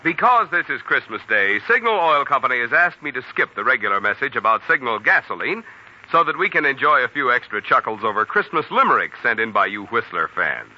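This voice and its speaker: A man's voice: mid-range voice, big pitch swings, a little fast. An American man announcing for a sponsor on 1940s radio in a polished, jovial, projected way. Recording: dull 1940s radio recording, music behind.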